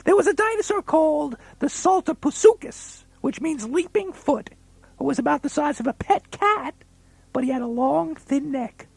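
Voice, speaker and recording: A man voicing an eager, childlike puppet sidekick with a high, gravelly, raspy voice on a children's comedy recording. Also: expressive, normal speed, a little mellow, dry recording, heavily acted. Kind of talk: children's comedy cassette banter.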